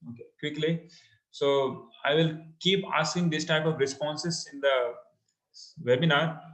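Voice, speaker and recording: A man's voice: fairly high pitch, steady tone, a little slow, fairly bright tone. A man with an Indian accent and Hindi as his mother tongue presents an interactive webinar, encouraging listeners and repeating phrases. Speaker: online course instructor. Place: indoor room, online video call. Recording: echoey room on online call.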